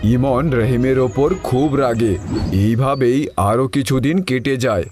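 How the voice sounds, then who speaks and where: A man's voice: mid-range voice, takes it slowly, music in the background. A man narrating a scripted cartoon story for viewers.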